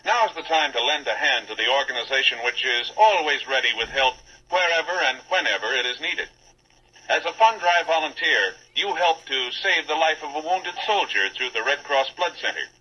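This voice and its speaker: A man's voice: on the high side, sharp tone. A man with an American accent reading scripted announcements and drama narration in a formal, declamatory old-time radio style. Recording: muffled old radio recording, clear hiss.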